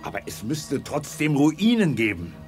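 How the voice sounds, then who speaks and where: Grumpy impatient general in an 80s space radio play, faint music behind. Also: male voice, a little high, wildly swooping pitch, moderate pace. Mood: gloomy, frustrated. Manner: impatient, commanding. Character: hot-tempered, gruff, gloomy army general in space. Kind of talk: 1980s sci-fi audio drama acting.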